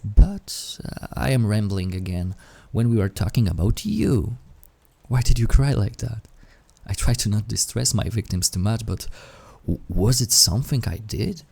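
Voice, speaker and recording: Casting: male actor as intimate incubus demon for roleplay audio, dark mellow tone, gravelly and breathy. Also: fairly low pitch, expressive, normal speed, heavily acted. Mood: gentle, awkward, soothing. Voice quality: husky, breathy, often dropping to whisper. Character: incubus sleep paralysis demon, menacing turned awkwardly tender.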